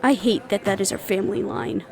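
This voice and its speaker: A woman's voice: medium pitch, fairly even intonation, brisk, creaky, darkish tone, soft background music, close to an everyday voice. A woman acting in an audio roleplay as a young adult daughter, defiant, loyal and argumentative, with an emotional, sarcastic edge. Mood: hurt, resolute.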